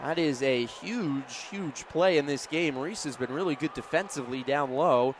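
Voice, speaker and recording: A man's voice: medium pitch, normal speed, noisy background. An American man doing live basketball play-by-play, calling the action emphatically in short clipped phrases. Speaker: play-by-play announcer.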